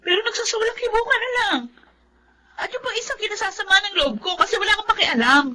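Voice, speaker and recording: Defiant high-voiced Filipino daughter. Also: female voice, quick pace, bright, forceful tone, a little hiss or hum. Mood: bitter, defiant. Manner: confrontational, emotional, accusing. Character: defiant, aggrieved grown daughter defending her dead father. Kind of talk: melodramatic radio drama acting.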